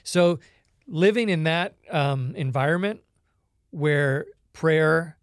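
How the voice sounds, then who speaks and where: A Canadian man, a podcast guest, telling his life story in a relaxed, reflective way with many small pauses. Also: on the high side, dry recording, clean studio sound. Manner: reflective storytelling with frequent ums. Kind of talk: conversational interview.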